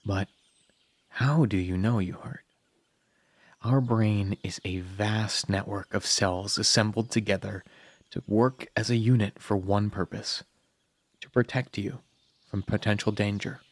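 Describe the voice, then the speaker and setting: A man narrating a scripted guided meditation in a calm, soothing manner with long pauses. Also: lowish voice, no room sound.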